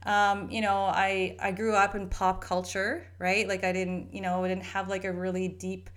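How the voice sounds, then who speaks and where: A woman from Canada talks through her own story as host of a solo podcast. Her pitch sits in an ordinary middle range. She rambles and restarts often, and she stays earnest and chatty throughout. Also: little pitch movement, normal speed, a bit bright. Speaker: podcast host and holistic health practitioner. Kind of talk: casual solo podcast monologue.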